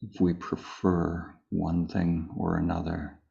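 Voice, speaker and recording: A man with a low, gravelly voice teaches meditation in a calm manner. He leaves long pauses between short phrases and keeps his tone very even. The sound is a little dull and muffled. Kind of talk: slow guided meditation, spoken live.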